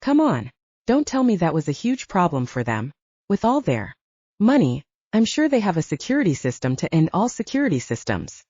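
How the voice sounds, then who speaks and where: A woman's voice: on the low side, big pitch swings, moderate pace, darkish tone, no room sound, dull audio. A woman voicing a spirited young female audiobook heroine, frank, teasing and persuasive. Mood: challenging. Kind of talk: audiobook character dialogue.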